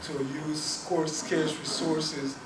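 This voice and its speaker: Guy from guyana lecturing, kinda high voice, mic far off and noisy. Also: little pitch movement, slow pace. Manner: earnest, repeats words while thinking. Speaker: guest speaker on Caribbean languages. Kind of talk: academic talk and Q&A. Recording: echoey room, distant mic, noisy.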